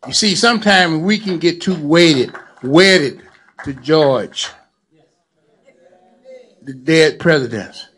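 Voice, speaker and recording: A seventy-year-old man speaks to a gathering in a high-pitched voice. He preaches more than he talks, circling back to repeat his key lines for emphasis. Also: takes it slowly, long pauses, a bit bright, breathy edge. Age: 70s. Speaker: lawyer and community activist. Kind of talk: speech to a gathering.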